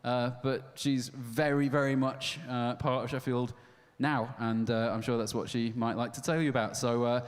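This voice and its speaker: A man's voice: mid-range voice, steady tone, moderate pace. Hesitant man hosting live. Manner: hesitant, many fillers, joking. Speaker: event host. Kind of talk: live event introduction. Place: live venue stage with audience. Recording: long hall reverb.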